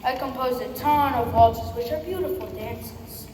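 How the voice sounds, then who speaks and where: A woman's voice: high voice, unhurried, a little mellow. A young girl of about eleven playing a cocky, brash composer in a scripted comic school play. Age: child, about 11 or 12. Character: cocky, brash, self-promoting famous male composer. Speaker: student performer in readers theater. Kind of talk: scripted comic stage recitation. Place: school performance in a large echoey room. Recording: echoey hall, far mic, clearly noisy.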